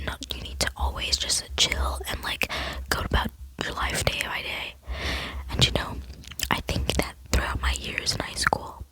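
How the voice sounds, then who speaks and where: A woman in her late teens whispering an earnest, rambling ASMR chat into a close microphone. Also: low-quality recording. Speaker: ASMR vlogger.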